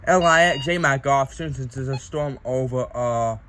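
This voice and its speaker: A man hosting an unboxing video in casual, excitable chat. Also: medium pitch, moderate pace. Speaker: host of a radio unboxing video. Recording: noisy amateur recording with clicks.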